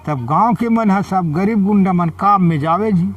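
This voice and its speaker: A man's voice: sits high, normal speed. A man with a Chhattisgarhi accent tells an oral folk story informally, in short phrases that leave room for a listener to respond. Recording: dull lo-fi, distant microphone.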